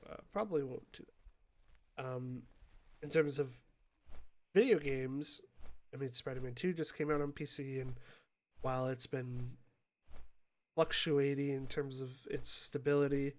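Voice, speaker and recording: A man with a cold talks casually through a gaming session, sounding sniffly and stuffed up. His voice stays even and fairly flat, with little rise or fall. He is hesitant, pausing on fillers and restarting his sentences. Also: medium pitch. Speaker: gaming YouTuber. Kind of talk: casual rambling commentary while playing.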